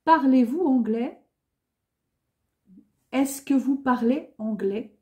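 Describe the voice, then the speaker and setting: A woman teaching a beginner French lesson, saying each useful phrase twice with careful, clear enunciation. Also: high voice, slow, warm tone. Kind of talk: beginner language lesson, repeating phrases slowly.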